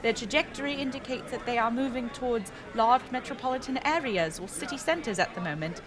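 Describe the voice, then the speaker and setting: An actress playing a measured, cautious news correspondent giving a careful, slightly hesitant bulletin in a radio drama. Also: female voice, on the high side, animated, a crowd in the background, lightly acted. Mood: cautious, serious.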